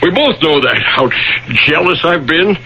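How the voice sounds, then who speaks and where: A man's voice: fairly high pitch, lively intonation, measured pace. An actor playing a tormented, guilt-ridden, self-loathing male author in a radio drama, speaking with agitated, repetitive, anguished phrasing. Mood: ashamed, confessing. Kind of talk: old-time radio drama acting. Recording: dull, faintly noisy 1980 radio broadcast.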